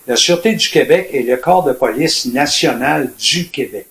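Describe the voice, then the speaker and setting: An older man in his seventies from Quebec, with a Quebec French accent, telling his life story in a reflective, colloquial, measured way as a retired police officer. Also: medium pitch, fairly bright tone. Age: 70s. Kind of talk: personal storytelling in an interview. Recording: echoey room with faint noise.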